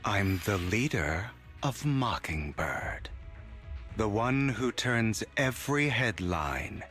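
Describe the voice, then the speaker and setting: An actor playing a theatrical, mocking young gentleman thief in a video game, with a dramatic, taunting delivery. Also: fairly low pitch, sing-song, slow pace, faint music behind, exaggerated voice. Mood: grandiose.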